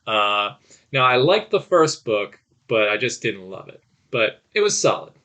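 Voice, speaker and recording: A man's voice: mid-range voice, sing-song, unhurried, sharp tone. A man co-hosting a podcast, giving reviews in an informal, opinionated, conversational way. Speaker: podcast co-host. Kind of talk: casual review chat.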